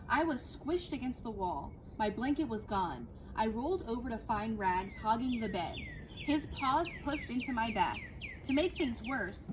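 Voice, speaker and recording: A woman teacher speaking and reading aloud to children in an animated, affectionate, expressive way. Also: fairly high pitch. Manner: animated, addressing young listeners affectionately. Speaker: teacher reading a story to pupils. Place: outdoors in a backyard. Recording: clear background noise, outdoor ambience.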